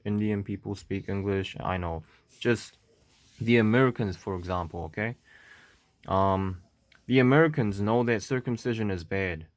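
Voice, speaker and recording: A man from South Korea speaking English with a Korean accent, talking to camera in a halting, stuttering way with many fillers and "okay?" checks. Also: lowish voice, no echo. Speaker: vlogger. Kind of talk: unscripted monologue to camera.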